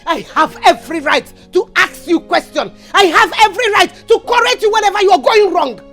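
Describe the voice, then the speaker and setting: A woman's voice: very high-pitched, expressive, brisk, soft background music. A protective Nigerian mother and wife in an emotional film drama, exclaiming and repeating herself insistently. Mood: confronting, pleading. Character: protective, indignant mother and wife standing up for her daughter.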